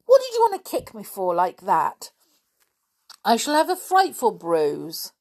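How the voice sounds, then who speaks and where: A woman's voice: lowish voice, expressive, unhurried, creaky, darkish tone, husky, exaggerated voice. Woman voicing a hot-tempered, proud young tomboy girl. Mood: cross. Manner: indignant, blurting, hesitant when flustered. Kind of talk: children's storybook character reading.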